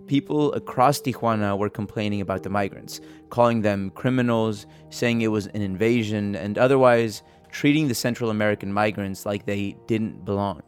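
A man's voice: fairly low pitch, normal speed, few pauses. A man reporting and hosting in a scripted narration style. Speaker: podcast host and reporter. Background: music bed.

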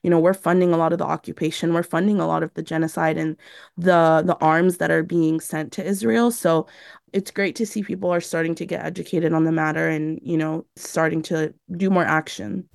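A woman's voice: deep voice, fairly even intonation, brisk, gravelly, a little mellow, dry recording, clean studio sound. A young woman from San Diego, an organizer being interviewed, answering casually with lots of "you know" and "like". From San Diego, United States. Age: young adult. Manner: conversational, many "you know" and "like" fillers. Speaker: community organizer, interview guest.

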